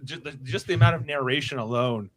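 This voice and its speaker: Bright-voiced man hosting, halting and rambling. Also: medium pitch. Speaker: podcast host and cast member. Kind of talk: casual cast wrap-up chat.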